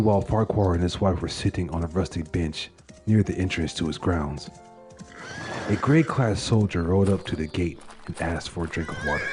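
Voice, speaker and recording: Very deep-voiced male narrator over music. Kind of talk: dramatic horror-tale narration of a literary short story. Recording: music under the voice.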